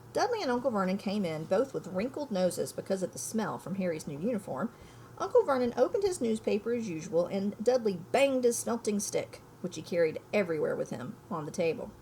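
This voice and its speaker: A woman's voice: mid-range voice, a little mellow, a little hiss or hum, rough recording. A woman reading a children's book aloud expressively, in an explanatory manner with informal asides. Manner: explanatory, checks understanding with listeners.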